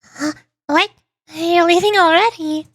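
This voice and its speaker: Young female kindergarten instructor, played by a woman streamer, high, innocent, earnest game character. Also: measured pace, a bit bright. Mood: surprised.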